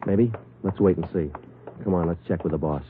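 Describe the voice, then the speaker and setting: An actor playing a terse, matter-of-fact police sergeant in an old radio detective drama. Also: male voice, low-pitched, a little fast, background noise, lightly acted. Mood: businesslike. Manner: flat, matter-of-fact, clipped. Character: terse, businesslike male police sergeant narrating his case. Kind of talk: 1950s radio drama acting, clipped narration. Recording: tinny old radio recording, music behind.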